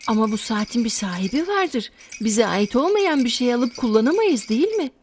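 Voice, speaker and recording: A woman playing a caring, wise mother in a children's cartoon, speaking in an affectionate, gently instructive way. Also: on the high side, very animated, moderate pace, background noise. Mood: gently approving.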